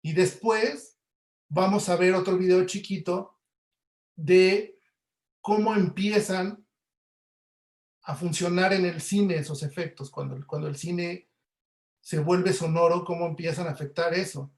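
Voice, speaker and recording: High-voiced Mexican man lecturing, echoey room. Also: fairly even intonation, unhurried, pauses often. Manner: explanatory, often checks with tag questions. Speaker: lecturer, audio producer. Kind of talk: informal webinar lecture.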